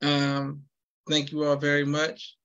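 A man's voice: on the high side, completely flat delivery, slow, vocal fry, fairly bright tone, smooth, dull audio. A man hosting a podcast over a video call, upbeat and polite, often saying yes sir. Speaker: podcast host. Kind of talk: casual interview. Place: home room with poster wall.

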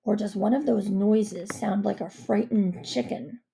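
A dim, greedy badger sidekick, as a woman reading aloud puts him on speaks loudly and drawn out. His tone is gravelly and mellow. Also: mid-range voice, steady tone, measured pace, rough recording. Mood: eager.

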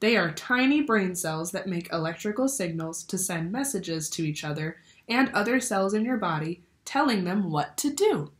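A woman's voice: mid-range voice, animated, moderate pace, hardly any pauses. A young woman reading a children's picture book aloud like a teacher, expressively, asking the listeners questions. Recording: roomy indoor echo.

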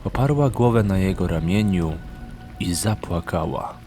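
Low-voiced male storyteller over music. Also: animated, a little slow, dark tone. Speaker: narrator. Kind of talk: scripted storybook narration. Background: background music.

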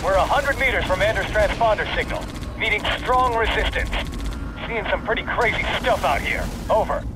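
An actor playing a marine sergeant in a video game, giving terse radio reports from the field. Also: male voice, high voice, animated, normal speed, noisy background.

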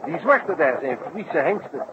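A friendly detective's sidekick, with a fairly high voice and brisk, conversational phrasing. The old radio play sounds dull and clearly noisy. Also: male voice, sing-song. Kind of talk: old Dutch radio drama acting.

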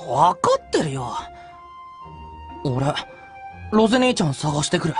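An actor playing a brash, boyish young dog-like hunter in a Japanese radio drama, with casual, rough speech. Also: medium pitch, sing-song, a little slow, over music. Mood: musing, hesitant.

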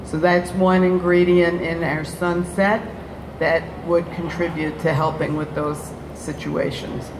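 A middle-aged woman on a convention panel giving a measured, technical answer with fillers into a handheld mic. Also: fairly low pitch, steady tone. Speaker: panelist answering audience question. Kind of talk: careful explanatory panel answer. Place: large convention hall stage. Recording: echoey convention hall with noise.

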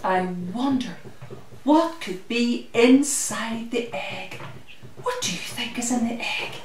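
A middle-aged woman hosts a story time for small children. She speaks very slowly and expressively, stretching out her words in a sing-song way. The recording is roomy and a little distant, with faint noise. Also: fairly high pitch. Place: glass-walled conservatory at home. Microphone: camera mic a little way off.

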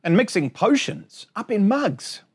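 Male children's storytime reader, higher-pitched, slightly husky, unhurried sing-song rhymes with chatty asides. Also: sing-song. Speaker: storytime host. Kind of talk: reading a picture book aloud to children. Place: small indoor space among bookshelves.

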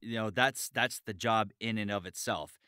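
A man's voice: fairly low pitch, moderate pace, bright, forceful tone, smooth, no room sound, studio quality. A man talking in a casual podcast chat, earnest and emphatic, repeating key phrases. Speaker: voice actor, podcast guest.

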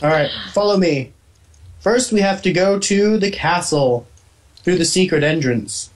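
A man's voice: sits high, unhurried. A man hosting a casual gaming session, guiding friends through a level and cursing at lag. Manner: guiding others, swearing at lag. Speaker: let's play host.

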